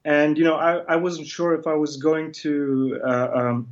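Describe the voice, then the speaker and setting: A man's voice: fairly high pitch, a little slow, lo-fi sound. A man in his forties who grew up in Germany, a guest talking casually and self-deprecatingly, full of uhs. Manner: self-deprecating, hesitant, many fillers. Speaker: interview guest, comic illustrator. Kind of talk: casual interview chat.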